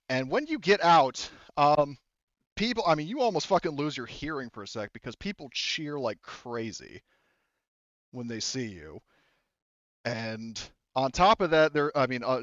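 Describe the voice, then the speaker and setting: A man of medium pitch runs a tabletop game. He rambles casually and haltingly through his descriptions, full of verbal tics. The sound is dry and a bit dull. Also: clear, resonant tone, no room sound. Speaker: game master.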